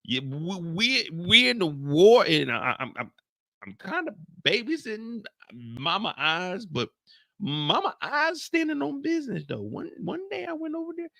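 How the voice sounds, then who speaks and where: A jittery young henchman , put on by a man, stammers and restarts his words as he calls home. The voice sits fairly high with a bright edge and swings up and down as he fumbles for words. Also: sing-song, creaky, no echo, heavily acted. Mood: nervous stammer. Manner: stammering, halting, repeats words. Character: nervous stuttering young male henchman on phone.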